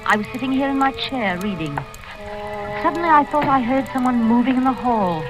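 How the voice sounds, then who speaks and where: A woman's voice: sits high, expressive, brisk, over music, low-quality recording. An actress playing a haughty, prim, wealthy old lady in a vintage radio drama. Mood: prim narrating. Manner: imperious, prim, scolding. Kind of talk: 1940s radio acting, first-person narration and dialogue.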